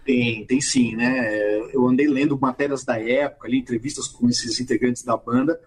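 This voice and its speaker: A man talking as a guest about music history, telling the story in a relaxed way and often adding short tag questions. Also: mid-range voice, moderate pace, crowd noise. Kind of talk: conversational storytelling.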